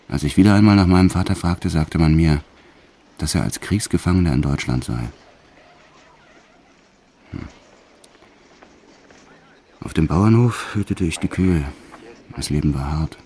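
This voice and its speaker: An actor playing a notorious male French criminal who recounts his wartime childhood in a brooding, deliberate way, as in an audio drama. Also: a bass voice, mellow, a little hiss or hum. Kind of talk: dramatized first-person audio drama narration.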